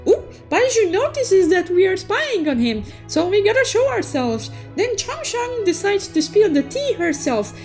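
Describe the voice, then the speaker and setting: A woman voicing a cute, excitable young unicorn who recaps a story with playful, theatrical exclamations. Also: very high-pitched, unhurried, hardly any pauses, soft background music, exaggerated voice. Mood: intrigued. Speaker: storytelling host. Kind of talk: animated story recap narration.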